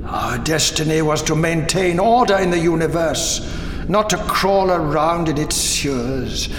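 An actor playing an imperious ancient male ruler who makes slow, grave proclamations in an audio drama. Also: fairly high pitch, roomy sound, big-room sound, slight background noise. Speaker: resurrected president.

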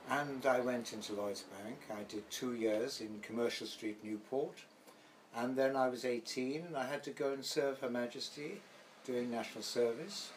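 A man's voice: mid-range voice, low-quality recording. An older man from Wales tells his stories in an interview, rambling and anecdotal, slipping into the voices of the people he quotes. His light Welsh accent colors an everyday mid-range voice. A faint hiss sits under the recording. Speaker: actor guest. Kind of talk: reminiscing interview.

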